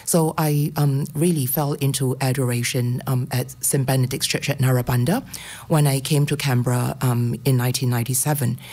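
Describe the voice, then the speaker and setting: A woman's voice: a bass voice, steady tone, normal speed, darkish tone. A middle-aged woman with a Singaporean accent giving a personal faith testimony as a guest speaker.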